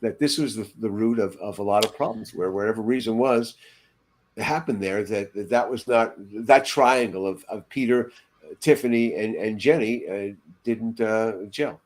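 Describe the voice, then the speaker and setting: A man's voice: lowish voice, moderate pace, darkish tone. An older man speaking as a panelist in a casual podcast discussion. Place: room at home, indoors.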